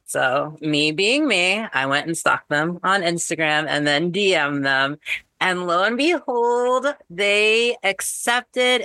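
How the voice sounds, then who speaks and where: A woman's voice: fairly low pitch, sharp tone, no echo. A woman co-hosting a podcast, chatty and bubbly, often laughing as she talks with her guests. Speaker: podcast co-host. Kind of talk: casual podcast interview.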